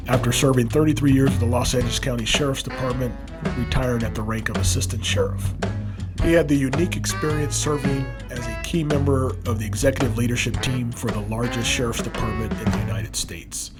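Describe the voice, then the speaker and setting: A man's voice: mid-range voice, steady tone, fairly quick, over loud music. Male podcast host asking questions. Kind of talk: interview questions.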